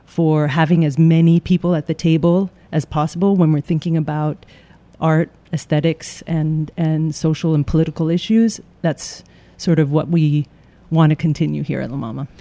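A man answering interview questions about his theater company in a measured way, with frequent fillers. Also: a little high, steady tone, warm tone.

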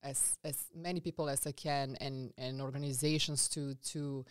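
A woman from Serbia with a Serbian accent, a guest coach telling her story in a casual interview, earnest and halting with many fillers and restarts. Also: extremely low pitch, fairly even intonation, smooth, no echo, studio quality.

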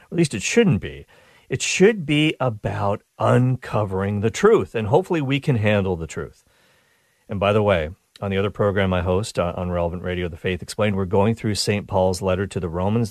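Brief: male radio host, low voice, dry studio sound, excited and chatty, slightly congested. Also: no room sound. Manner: enthusiastic, chatty, frequent restarts. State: stuffed up nose. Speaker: radio talk show host. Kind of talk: upbeat radio show monologue.

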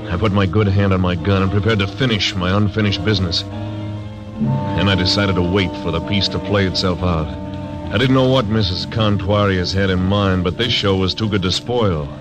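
Actor as a low-voiced laconic marshal. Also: male voice, normal speed, over music, natural voice. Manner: laconic, clipped. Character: grim steady male frontier marshal. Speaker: narrator. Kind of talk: 1940s radio acting.

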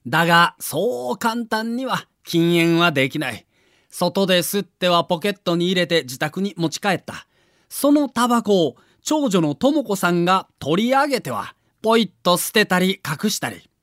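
A man's voice: high voice, very animated, normal speed, fairly bright tone, no room sound, studio quality. A man reading a scripted documentary narration with a theatrical storyteller's delivery.

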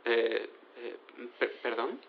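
An actor playing an awkward but crafty defence lawyer in a comic audio drama, hesitant and stumbling. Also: male voice, on the high side, sing-song, normal speed, phone-quality sound.

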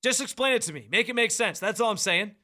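A man hosting sports radio, his high, bright voice pushed hard and projected into a close studio mic. He talks with loud, fired-up opinions. The sound is full and forceful. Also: expressive, fast talker. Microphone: studio microphone, close.

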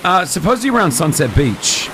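A man's voice: on the high side, very animated, a little fast, very noisy. A man hosting a morning radio show from the studio, chatty and teasing with callers and guests. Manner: teasing, chatty, many fillers. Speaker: radio host. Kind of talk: casual radio banter.

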